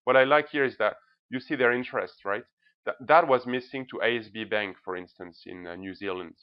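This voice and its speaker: A man's voice: fairly low pitch, brisk, smooth. A man giving an informal, emphatic presentation as a blogger, often checking in with short tag words. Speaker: presenter, blogger reviewing banks' social media. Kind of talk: informal screencast-style presentation. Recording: slightly dull, lo-fi sound.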